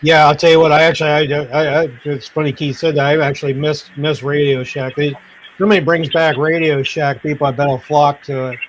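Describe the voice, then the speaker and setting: A man's voice: fairly high pitch, steady tone, brisk, slight background noise, low-quality recording. A man hosting a podcast in casual chat, rambling through stories with frequent laughs and repeated starts.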